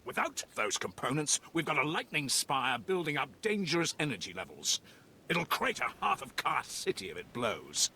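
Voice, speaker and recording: An actor playing an urgent Imperial sergeant in a video game, briefing in a forceful, commanding way. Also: male voice, on the high side, very animated, normal speed, slight background noise, full character voice. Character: urgent Imperial military sergeant rallying against rebels. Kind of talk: video game quest dialogue.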